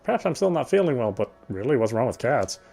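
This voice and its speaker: A man's voice: medium pitch, big pitch swings, quick pace, vocal fry, darkish tone, slightly rough, soft background music. A man playing a visual novel game, reading its first-person narration aloud. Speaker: game player reading story text aloud.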